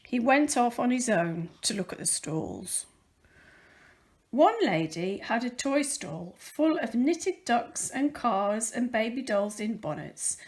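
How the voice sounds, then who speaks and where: High, animated woman reading a children's story. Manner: deliberate, careful storytelling with dramatic emphasis. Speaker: volunteer children's story reader. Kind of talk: expressive read-aloud of a children's picture book.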